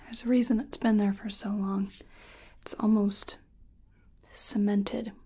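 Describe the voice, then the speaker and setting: A woman whispering and softly murmuring in a breathy, gentle, soothing way, as an energy healer in an ASMR personal attention roleplay. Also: medium pitch, level tone, unhurried.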